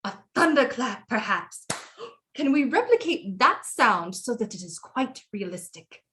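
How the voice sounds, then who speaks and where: A woman's voice: fairly high pitch, big pitch swings, unhurried, a bit bright. A woman playing an imperious, scheming French queen mother, grand and precise, in an audio drama. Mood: scheming, eager. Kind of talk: stage-style audio drama acting.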